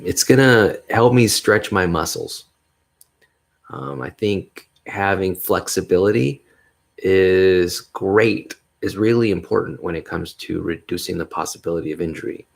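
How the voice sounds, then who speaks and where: A man hosting a solo podcast, talking in a mellow bass voice, upbeat and rambling, with frequent chuckles. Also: gravelly. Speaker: podcast host. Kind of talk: casual solo podcast monologue.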